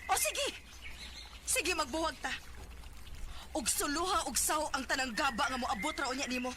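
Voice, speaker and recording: A woman acting a hurt, proudly defiant young lover in a Bisaya radio drama, with a Cebuano Filipino accent and an emotional, sharp delivery. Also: high-pitched, lively intonation, moderate pace, noisy background. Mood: angry, defiant.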